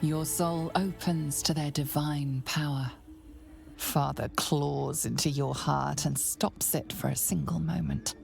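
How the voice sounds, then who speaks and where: A woman playing the grave narrator of a dark fantasy game, solemn, measured and ominous. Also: low voice, steady tone, gravelly, soft background music, exaggerated voice.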